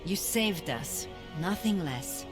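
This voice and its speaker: A woman's voice: lowish voice, lively intonation, slow pace, over music. A woman playing a composed, authoritative leader in a fantasy video game. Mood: earnest.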